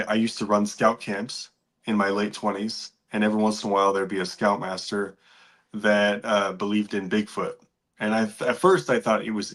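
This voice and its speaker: A man's voice: lowish voice, fairly bright tone. A man of about forty chatting casually as a guest on a talk show. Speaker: podcast guest. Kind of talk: casual chat. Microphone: online video call.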